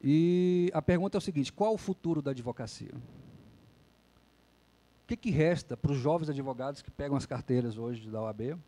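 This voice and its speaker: Mellow-voiced man giving a talk. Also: mid-range voice, normal speed. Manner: conversational, frequent "né?" tag questions. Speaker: lawyer and lecturer. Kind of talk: public lecture.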